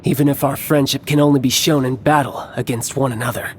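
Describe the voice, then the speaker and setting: An actor playing a cocky, battle-hungry young villain in a video game cutscene, speaking in a measured, smug and theatrical way with formal phrasing. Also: male voice, mid-range voice, fairly even intonation, a little hiss or hum, close to an everyday voice. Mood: composed, intrigued.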